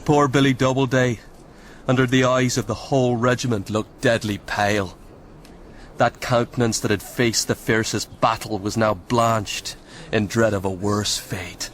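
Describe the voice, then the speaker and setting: An actor playing a weary, sombre soldier in a radio drama, speaking gravely and with measured, old-fashioned phrasing. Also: male voice, mid-range voice, steady tone, slight background noise, lightly acted. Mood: grave, haunted. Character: sombre Irish soldier sergeant, weary eyewitness storyteller. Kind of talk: radio drama acting and narration.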